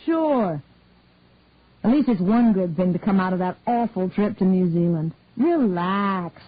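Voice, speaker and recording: A woman's voice: mid-range voice, expressive, measured pace. A woman voicing an easygoing middle-aged father who talks in a wry, offhand way. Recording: narrow phone-like dry sound.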